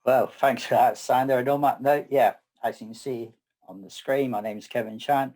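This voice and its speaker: A middle-aged man with a West Country English accent, co-presenting a technical session in a measured, slightly wry way. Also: mid-range voice, fairly even intonation, darkish tone. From southwest England. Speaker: conference co-presenter. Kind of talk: scripted tech presentation.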